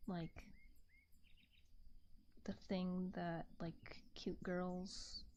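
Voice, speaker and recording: A woman streamer chatting casually in a gentle, soft-spoken ASMR style, rambling and hesitant, close to a binaural microphone. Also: lowish voice, level tone, very slow, lo-fi sound, a little hiss or hum.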